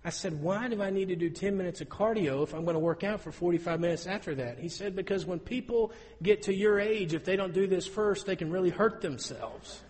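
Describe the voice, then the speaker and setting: A man in his thirties preaching a sermon in an auditorium, telling stories with lively, rising emphasis. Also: sits high, a little fast, hardly any pauses, long reverb tail. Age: 30s. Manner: storytelling, animated with rising emphasis.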